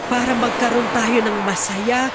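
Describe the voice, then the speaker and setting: An actress playing a gentle, patient middle-aged mother of grown children in a Tagalog radio drama, calm and measured. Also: fairly high pitch, very noisy, close to an everyday voice. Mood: grave, pleading. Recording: loud music under the dialogue.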